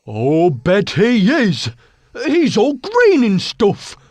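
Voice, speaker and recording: High, swooping, dim-witted cartoon guard. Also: male voice, wildly swooping pitch, extremely slow, a little mellow, no room sound, exaggerated voice. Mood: flustered bluster. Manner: hesitant, stumbling, dopey. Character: dim-witted, bumbling, eager fairy-tale guard. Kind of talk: cartoon video game acting.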